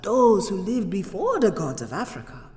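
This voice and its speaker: A woman's voice: medium pitch, sing-song, normal speed, a little mellow, lightly acted. An actress playing a haughty, mysterious voodoo queen in a radio drama, cryptic and commanding, with a folksy dialect. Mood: solemn, mysterious. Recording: roomy echo, long reverb, music underneath.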